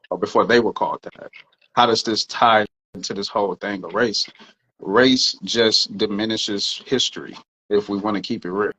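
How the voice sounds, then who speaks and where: A man with a fairly low voice talks through his ideas on a podcast in an earnest, explanatory way, swearing now and then. The sound is a bit muffled and lo-fi. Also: a bit bright. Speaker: podcast co-host. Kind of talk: casual podcast discussion.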